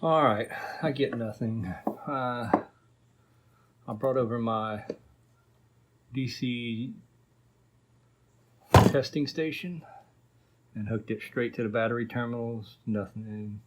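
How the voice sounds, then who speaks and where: A man's voice: mid-range voice, takes it slowly, pauses often, heavy background noise. A man hosting a casual repair video, talking informally and thinking aloud as he works. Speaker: host of an electronics repair video. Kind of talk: casual workbench vlog narration.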